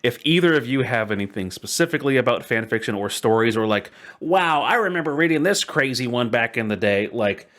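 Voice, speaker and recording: A man's voice: medium pitch, animated, fairly quick, few pauses, a bit bright. A man hosting a podcast in a casual chat with friends, talking in a playful, rambling way. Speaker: podcast host.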